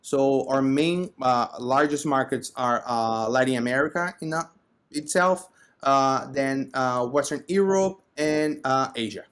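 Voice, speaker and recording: A man's voice: mid-range voice, a little slow, a bit bright, smooth. A man from Brazil with a Brazilian accent, explaining his business conversationally as an interview guest. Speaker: podcast guest, company co-founder.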